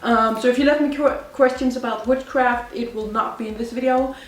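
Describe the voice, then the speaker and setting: A woman's voice: a little high, fairly even intonation, brisk, far from the mic, slight background noise. Chatty young woman in an echoey room. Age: 20s. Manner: chatty, rambling, with many fillers and self-corrections. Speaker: vlogger. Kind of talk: casual chat. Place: indoors at home.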